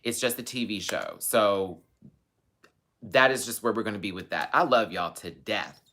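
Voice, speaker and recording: Bright, forceful-voiced man venting on livestream. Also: fairly low pitch, animated, moderate pace. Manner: emphatic, sassy, colloquial, repeats phrases for stress. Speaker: host of a rant livestream. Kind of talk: casual livestream chat, venting to viewers.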